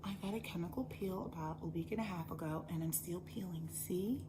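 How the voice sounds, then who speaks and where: A woman voicing a preachy female lifestyle influencer who talks in a slow, hushed, breathy whisper. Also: lowish voice, lightly acted. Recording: background noise.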